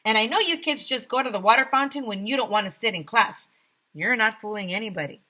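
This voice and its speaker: A woman's voice: fairly high pitch, fast, bright, forceful tone, voice pushed up. A woman voicing a bossy, penny-pinching male town mayor in a children's storybook, declaiming emphatically. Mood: scornful, emphatic. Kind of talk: children's storybook read-aloud.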